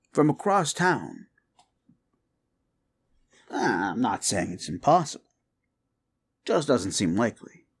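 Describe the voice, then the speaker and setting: A man playing a rumpled, folksy police detective in a roleplay audio drama, musing and halting as he politely needles a suspect with feigned casualness. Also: on the low side, full character voice. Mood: quietly doubting. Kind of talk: roleplay audio drama, interrogation monologue addressed to listener.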